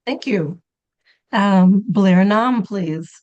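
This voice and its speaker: A woman chairing a town committee meeting on a video call, polite and brisk as she calls on applicants. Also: medium pitch, darkish tone, lo-fi sound. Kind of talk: running a public meeting.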